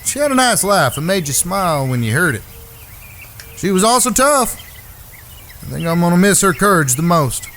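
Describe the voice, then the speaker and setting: A man plays a rough frontier outlaw with a slightly high voice. He is plain-spoken, blunt and folksy, in the manner of a western audio drama. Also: very animated, moderate pace, long pauses, fairly bright tone, a little hiss or hum, heavily acted. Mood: awkward, mournful.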